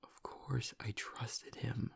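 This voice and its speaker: A man playing a gruff young werewolf pack alpha in an intimate audio roleplay, halting and tearful, his voice breathy and gravelly as he grieves and doubts himself. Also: fairly bright tone, in a whisper. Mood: anguished, self-doubting. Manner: halting, low-voiced, choked with emotion. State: tearful, on the edge of crying.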